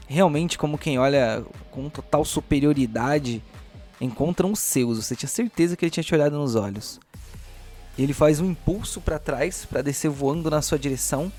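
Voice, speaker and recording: A Brazilian man narrating a tabletop game as game master, in a deliberate, theatrical storyteller's manner. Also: mid-range voice, darkish tone.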